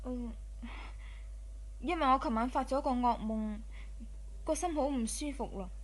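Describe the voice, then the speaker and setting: An actress playing an anxious, gentle young wife and elder sister in a radio drama, fretful and mildly scolding. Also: female voice, high-pitched, a little slow.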